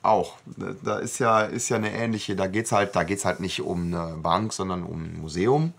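A man's voice: low-pitched, moderate pace, creaky, husky. A man giving casual commentary as a podcast host in loose conversation.

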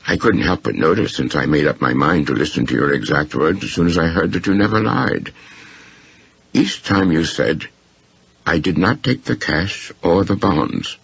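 Guy reading as a polite shrewd old-school gentleman in an audiobook, super deep croaky voice, precise, slightly dull sound. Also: lively intonation, no echo, exaggerated voice. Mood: quietly firm. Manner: formal, deliberate, precise. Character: courteous, shrewd, unflappable gentleman waiter.

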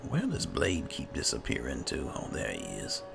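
A man's voice: rumbling low, wildly swooping pitch, moderate pace, creaky. A man roleplaying a character in a game playthrough, narrating casually and without hurry. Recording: background music under the voice. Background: game music.

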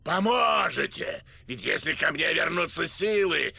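Gravelly, wrathful evil spirit. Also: male voice, fairly high pitch, expressive, a little slow, sharp tone, no echo, heavily acted. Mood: furious, vengeful. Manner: bombastic, indignant. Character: wrathful, vengeful evil male mask spirit. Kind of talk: cartoon video game dubbing.

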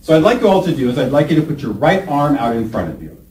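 Trainer in an echoey room, heard from afar. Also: male voice, mid-range voice, very animated, darkish tone. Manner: upbeat, calls on trainees by name, slows down to stress points. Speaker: presentation skills trainer. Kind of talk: classroom workshop lecture. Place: large training room indoors. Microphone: room camera from a distance. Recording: echoey room, distant mic.

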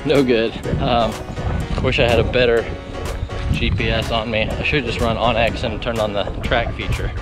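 A man talking casually while out on a long hike, relaxed and chatty with plenty of fillers, recorded outdoors. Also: mid-range voice, moderate pace, loud music behind the voice. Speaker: hiker filming his trip. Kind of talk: casual chat while hiking. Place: outdoors on a trail.

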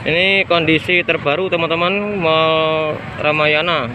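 A man's voice: on the high side, little pitch movement, measured pace. A man filming a night fire on his phone. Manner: urgent, repetitive, addresses viewers as friends. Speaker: citizen reporter vlogger. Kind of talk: on-the-spot phone video report. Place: outdoors at night by a mall fire, busy street. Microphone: phone camera held by the filmer. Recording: phone recording with loud street noise.

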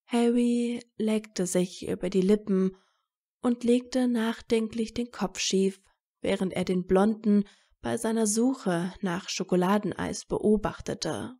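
A woman's voice: medium pitch, takes it slowly, dark tone. A woman narrating a scripted fan fiction story as a storyteller.

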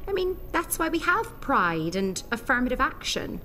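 Earnest hairdresser, lively intonation. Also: female voice, high-pitched, normal speed, slight background noise, full character voice. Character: earnest, insecure late-blooming woman hairdresser. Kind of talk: radio soap opera acting.

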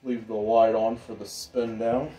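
A man giving a casual, informal hobbyist demonstration in an unhurried, rambling way. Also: lowish voice, little pitch movement, mellow, poor audio. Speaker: ceiling fan hobbyist vlogger. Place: indoor room with exposed pipes and ductwork.

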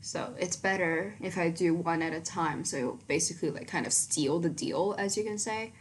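A teenage girl chatting casually through her makeup routine in a small bedroom, rambling with lots of ums and likes, her voice fairly low for her age. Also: normal speed, a little hiss or hum. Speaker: beauty vlogger. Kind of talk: casual makeup tutorial chat.

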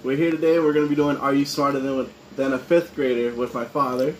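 A young man with a mid-pitched voice talks casually as a vlog quiz contestant. The camera is across a table indoors, with clear noise in the recording. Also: fairly even intonation, fairly quick, poor audio. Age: young adult. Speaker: channel host and quiz contestant. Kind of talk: casual vlog chat, answering quiz questions. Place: home dining room, indoors.

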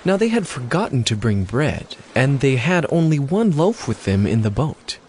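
Animated male scripture narrator. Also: mid-range voice, normal speed, a little hiss or hum.

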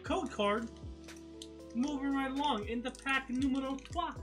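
A young adult man with a high tenor voice, co-hosting with casual, joking banter and playful quips in a small room. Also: measured pace. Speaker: co-host. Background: background music.

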